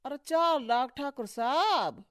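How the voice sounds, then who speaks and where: A woman voicing a deferential, effusive townsperson in an animated moral story. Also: on the high side, slow pace, smooth, dry recording, studio quality, voice pushed up. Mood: pleading.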